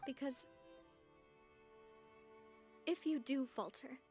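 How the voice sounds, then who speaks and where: A woman voicing a warm, wistful young heroine in a video game, speaking in a gentle, earnest and polished way. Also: sits high, takes it slowly, faint music behind.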